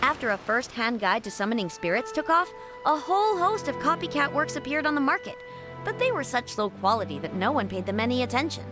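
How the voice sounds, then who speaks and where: Cheerful young female editor, high lilting voice, courteous, businesslike, anime game. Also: very animated, fairly quick. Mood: explaining. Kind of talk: anime game dub acting. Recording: music behind.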